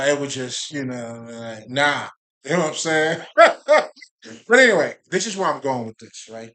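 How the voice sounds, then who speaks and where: A man's voice: mid-range voice, fairly bright tone. A man from Haiti talking casually and profanely to his viewers in a rambling monologue about spirituality, often breaking off to laugh and repeat himself. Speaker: spiritual video creator.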